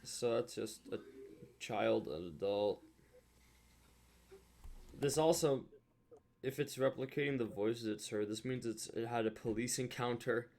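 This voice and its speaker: A man's voice: medium pitch, unhurried, long pauses, darkish tone, slight background noise. A man hosting a reaction video with casual, rambling, emphatic commentary.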